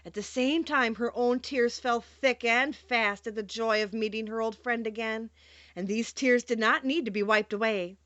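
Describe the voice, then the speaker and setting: A woman narrating a children's storybook in an expressive, theatrical read-aloud style. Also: on the high side, moderate pace, no room sound, lo-fi sound. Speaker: audiobook narrator.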